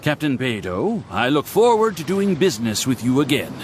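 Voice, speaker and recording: A man's voice: mid-range voice, sing-song, moderate pace, background noise, close to an everyday voice. A man voicing a courteous, amused merchant in a video game cutscene. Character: smooth admiring male merchant.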